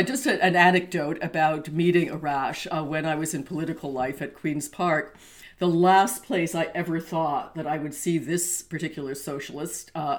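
An older woman hosting a casual interview, chatty and full of fillers. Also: sits low. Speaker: podcast host.

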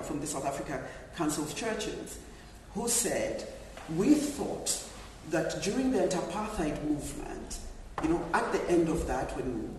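A man from Zambia speaking as a panel speaker, measured and reflective, with frequent fillers. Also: on the high side, a little hiss or hum. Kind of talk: panel discussion remarks. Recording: echoey hall, distant mic.

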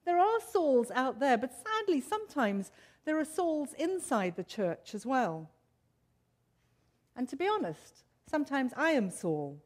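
A South African woman preaching a sermon to her congregation in a measured, earnest way. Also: a little high.

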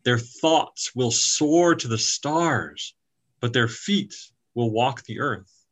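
A man voicing a wise, ancient female storybook turtle, speaking in solemn, measured, weighty phrases. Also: medium pitch. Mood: solemn, tender.

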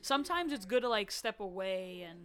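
Chatty, bright-voiced woman. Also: medium pitch, a little fast. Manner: chatty and animated, lots of "like". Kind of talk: casual chat.

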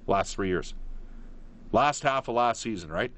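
A man hosting a live sports phone-in, giving opinions emphatically and pausing mid-thought. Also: lowish voice, lively intonation, fairly bright tone. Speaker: sports radio call-in host.

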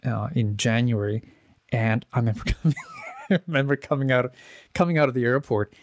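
A man's voice: medium pitch, steady tone, fairly quick, no room sound, clean studio sound. A man in his thirties, born in the Czech Republic, chatting as a musician guest in an interview, rambling through stories with many restarts and fillers. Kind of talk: casual interview chat.

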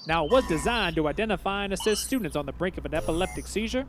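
Formal, animated young tech nerd. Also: male voice, sits high, fast, slight background noise. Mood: pedantic, deferential. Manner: formal, verbose, butler-like politeness. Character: nerdy, formal young male tech-genius student, eagerly polite. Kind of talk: comedy cartoon acting.

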